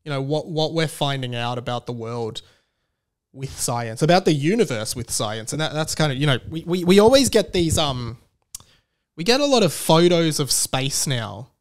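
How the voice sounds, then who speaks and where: A man hosting a casual comedic solo podcast, rambling with stammered restarts and animated, incredulous emphasis. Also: a little high, moderate pace. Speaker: podcast host.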